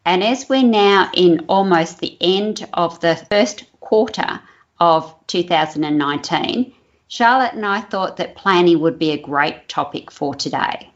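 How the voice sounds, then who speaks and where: A woman's voice: on the low side, a little slow, highs cut off. A woman hosting a business podcast, introducing her guest and topic in a friendly way. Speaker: podcast host. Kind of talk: podcast interview.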